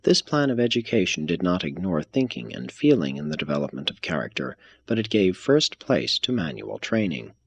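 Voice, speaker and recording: A man reading a religious text aloud as scripted narration, in a measured, formal manner. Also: low voice, sing-song, vocal fry. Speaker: reader.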